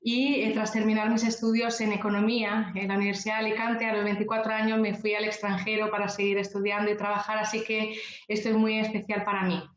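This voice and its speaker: A woman's voice: medium pitch, little pitch movement, fast. A woman from Spain presenting a research report in a webinar, explaining in an orderly way with a few fillers. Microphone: video call. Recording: echoey room, dull video-call audio.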